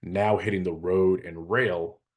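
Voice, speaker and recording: An American man talking informally as a hazardous materials expert, explaining things in a measured, matter-of-fact way. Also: deep voice, fairly even intonation.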